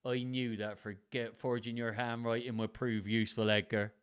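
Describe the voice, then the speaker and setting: A man's voice: medium pitch, flat delivery, normal speed, clear, resonant tone, close to an everyday voice. A man voicing a treacherous, sly son of an earl in a storybook reading, gloating in his manner. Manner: gloating, slyly innocent.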